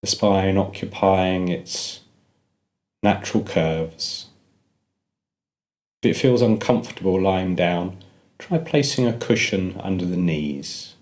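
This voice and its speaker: A man narrating a guided meditation, giving gentle, measured instructions with pauses between phrases. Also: low voice, lively intonation.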